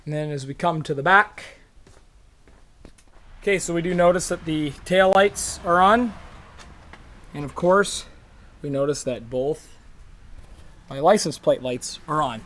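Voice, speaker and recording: Hoarse man demoing his truck. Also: a little high, measured pace, pauses often. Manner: relaxed, chatty, talking to the viewer while working. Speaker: car how-to video host. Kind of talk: casual hands-on demonstration. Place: outdoors beside his pickup truck. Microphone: camera held in one hand.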